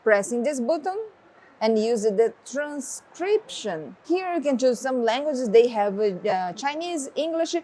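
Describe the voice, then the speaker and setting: A woman's voice: on the high side, a little slow. Woman demoing a tablet, Portuguese-native. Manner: relaxed, explaining step by step, non-native phrasing. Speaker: tech reviewer. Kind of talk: casual product demo.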